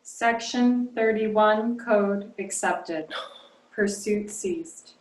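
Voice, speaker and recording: A woman's voice: mid-range voice, drawn-out words. A woman voicing a rigid, impersonal security drone computer in a sci-fi audio drama, speaking in formal, procedural announcements. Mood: impersonal, official. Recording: roomy, noisy, distant-sounding remote microphone.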